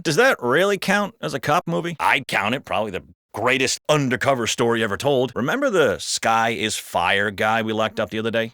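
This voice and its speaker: A man with an American accent playing a wisecracking, foul-mouthed city cop in video game banter, colloquial and expressive. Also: fairly low pitch, dry recording, clean studio sound. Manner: expressive, colloquial, comically exaggerated.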